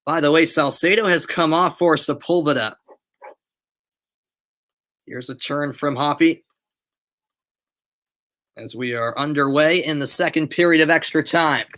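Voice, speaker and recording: Young American male, amateur play-by-play caller, bright tense tone, excitable on chances, webcam in a bedroom. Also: fairly high pitch. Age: young adult. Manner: excitable build-ups on shots, chatty asides to viewers. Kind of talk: live play-by-play commentary mixed with chat. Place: bedroom at home.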